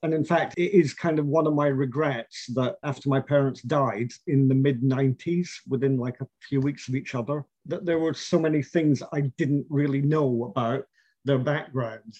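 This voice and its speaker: A middle-aged man, telling about his family's past in a measured, reflective way, with fillers and restarts. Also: medium pitch, mellow, husky, no echo. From Scotland. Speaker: interviewee. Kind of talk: oral history interview. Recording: dull, lo-fi and dry.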